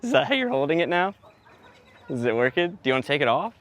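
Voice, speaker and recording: A man's voice: a little high, big pitch swings, fast talker, a bit bright. A man talking casually and teasingly as he explains the work he is doing on location. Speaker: sound engineer and host. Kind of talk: casual chat while working. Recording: clear background noise, on-location audio.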